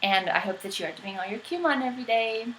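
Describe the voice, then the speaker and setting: A woman's voice: on the high side, fast talker, a bit bright, reverberant, slight background noise, poor audio. A woman who reads storybooks to children, greeting her young listeners directly in simple, friendly phrases.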